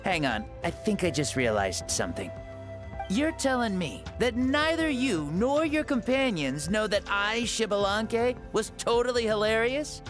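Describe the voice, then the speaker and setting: A man's voice: sits high, very animated, unhurried. A man voicing a playful, teasing revived ancient god in a video game. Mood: wry. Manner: teasing, wry. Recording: game audio with faint music.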